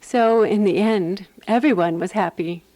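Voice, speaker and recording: A woman actor playing a composed, wry woman who narrates a radio drama in a measured, dry manner. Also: medium pitch, warm tone, no echo, lightly acted, low-quality recording. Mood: dry, satisfied.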